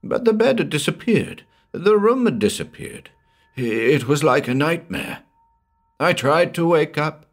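A man voicing a big, strong man over sixty, hoarse and shaken with terror. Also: a little high, animated, unhurried, pitched above the performer's own voice. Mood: frantic. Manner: frantic, pleading.

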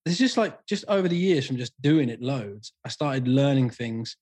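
A man's voice: mid-range voice, lively intonation, normal speed, a little mellow, slightly rough. A man who sings in a band, a podcast guest talking candidly in casual chat and swearing freely.

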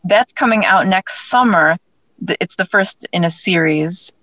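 A woman's voice: on the low side, measured pace, fairly bright tone, no echo, rough recording. A woman speaking casually as an interview guest, chatty and self-deprecating as she talks about her own work as an author. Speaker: interview guest, author and audio drama creator.

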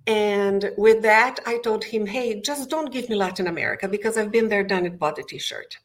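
A woman's voice: medium pitch, normal speed. A middle-aged woman from Brazil with a Brazilian Portuguese accent, a guest in an interview telling her career story with enthusiasm from her home office. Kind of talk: interview conversation.

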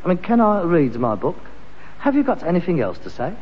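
A man's voice: on the high side, sing-song, brisk. An actor with a London accent playing a frustrated, pretentious grown-up son of a rag-and-bone man in a British sitcom, grand one moment and exasperated the next. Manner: affected grandness slipping into working-class exasperation. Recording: muffled, hissy, reverberant archive comedy recording.

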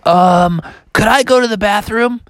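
A woman's voice: medium pitch, measured pace, dry recording, pitched above the performer's own voice, close to an everyday voice. A woman voicing a casual, sheepish young male frog pupil, hesitant and laid-back.

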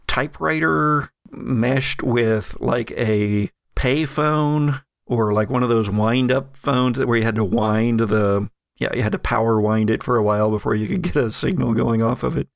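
Gravelly-voiced man running a tabletop game. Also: medium pitch, a little slow, dry recording, studio quality. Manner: relaxed, rambling, with many fillers. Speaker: game master.

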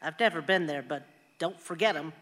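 An actress playing a sweet elderly grandmother who gently chides, in a radio play. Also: low voice, a little fast. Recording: reverberant hall.

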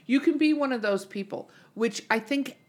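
A woman's voice: high voice, normal speed, darkish tone. A woman hosting a podcast in casual chat, irreverent and swearing freely. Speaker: podcast host.

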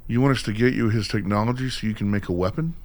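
A man's voice: fairly low pitch, fast talker, natural voice. An actor playing a skeptical, reluctant civilian man in a radio play, talking in a casual, wry, questioning way.

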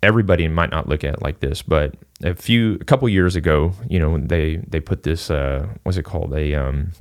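A man with a very deep voice, from Singapore, talking as a fitness coach on a podcast. His speech wanders loosely, stacked with little fillers as he works through each point. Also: fairly quick. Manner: loose and rambling, full of "you know" and "like". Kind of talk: casual podcast conversation.

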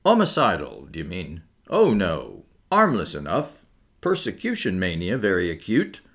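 Big burly keeper for audiobook, male narrator acting, callous good cheer, working-class English, bouncy pitch. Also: wildly swooping pitch, normal speed, fairly bright tone, breathy edge, exaggerated voice. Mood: dismissive. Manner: blunt, colloquial.

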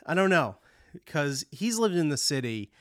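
A man's voice: on the high side, big pitch swings, normal speed, fairly bright tone, dry recording, clean studio sound. A touring musician hosting his own show, talking in a rambling, candid way and swearing often, his voice congested from a cold. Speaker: touring musician and podcast host. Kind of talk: casual monologue.

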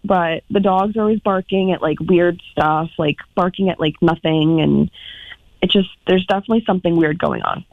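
A woman speaks over a tinny, narrow phone line with a lot of vocal fry. She chats informally as a call-in guest, her phrases hesitant and trailing. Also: lowish voice, normal speed, no echo. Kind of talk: casual storytelling chat.

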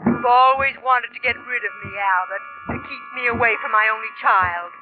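An actress playing a vengeful, stubborn old woman back to haunt, slow and menacing in 1940s radio style. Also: on the high side, lightly acted. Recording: tinny 1940s radio recording, music behind.

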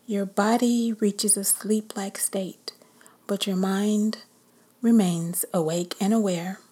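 Woman meditation guide, breathy whisper, very slow and calm. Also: mid-range voice.

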